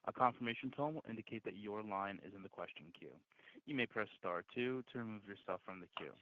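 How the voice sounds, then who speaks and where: Male operator, fairly low voice, formal and procedural, scripted call instructions on a thin phone line. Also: quick pace, heavy background noise. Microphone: conference call line. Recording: narrow telephone line.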